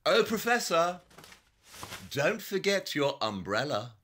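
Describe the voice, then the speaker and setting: An actor playing a brilliant, self-assured detective in an audio drama, speaking in a precise, theatrical, condescending way. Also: male voice, a little high, big pitch swings, slow, background noise. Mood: wry.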